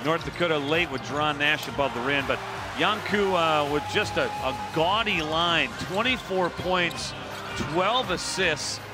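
A middle-aged man hosting a post-game sports wrap with a brisk, polished broadcast delivery, speaking into a headset mic at an arena desk. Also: on the high side, expressive, over loud music. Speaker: play-by-play host.

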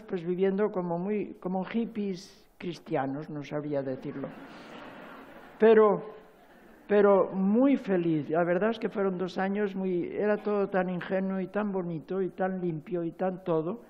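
An older man seated on a stage giving a rambling, emotional talk at a spirituality forum, full of halting restarts. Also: high-pitched, dull audio. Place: large stage, seated on a chair.

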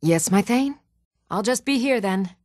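An actress playing an earnest, dutiful woman of a fantasy realm in a video game, speaking in a measured, composed and formal way. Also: mid-range voice, lively intonation, a little mellow, no room sound, clean studio sound, natural voice. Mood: loyal, steady.

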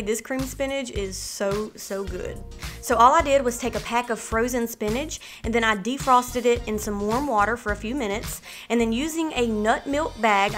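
A woman in her thirties hosting a cooking channel, walking through meal prep in clear step-by-step voice-over. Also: a little high, fairly bright tone. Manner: clear step-by-step instructional delivery. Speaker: host of a healthy cooking channel. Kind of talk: upbeat recipe walkthrough with voice-over.

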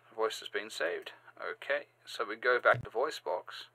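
A man hosting a step-by-step software tutorial, halting and sometimes repeating or correcting himself. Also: mid-range voice, lively intonation, gravelly, no room sound, low-quality recording. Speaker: tutorial host. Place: indoors, screen-recording voice-over.

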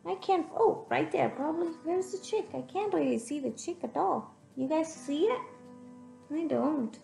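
A young woman reading a picture book aloud to young children in an expressive, teacherly way, pausing to put questions to them. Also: high voice, creaky. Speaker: children's storyteller. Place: room at home, indoors. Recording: echoey room, music in the background.

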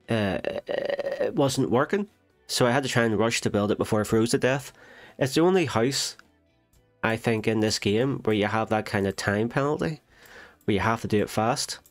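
A man with a nasal voice from a recent illness, chatting casually over a game and correcting himself as he goes. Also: lowish voice. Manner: chatty, self-correcting, thinks aloud. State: nasal from a cold. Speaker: gaming channel host. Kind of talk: casual let's play commentary.

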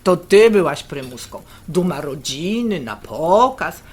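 A woman playing a brisk, teasing, worldly middle-aged sister in a naturalistic radio drama, blunt and bossy. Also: low voice, big pitch swings, heavily acted. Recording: clear kitchen noise and clatter. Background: cutlery, stirring, pots and pans.

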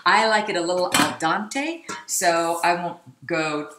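A woman's voice: on the low side, unhurried, heavy background noise. A middle-aged woman co-hosting a casual cooking video in her home kitchen, chatty and laughing often as she explains each step. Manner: chatty, laughs often, gestures while talking. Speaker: cooking show co-host. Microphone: camera mic across the kitchen. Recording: echoey kitchen.

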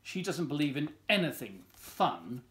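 A man in his sixties reading a children's storybook aloud in an expressive, deliberate storytelling manner, recorded in a living room at home. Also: a little high. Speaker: volunteer story reader.

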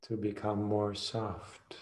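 A man guides a meditation in an even, very slow delivery. He speaks soothingly in short phrases, leaving long pauses between them. The sound is roomy, with a clear echo around his voice. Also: on the low side, a little hiss or hum. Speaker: meditation teacher.

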